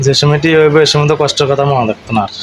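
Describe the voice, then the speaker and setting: A man's voice: on the high side, steady tone, rapid-fire. Man chatting over a very noisy call. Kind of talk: casual intimate phone chat. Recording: noisy video call recording, vehicle sounds.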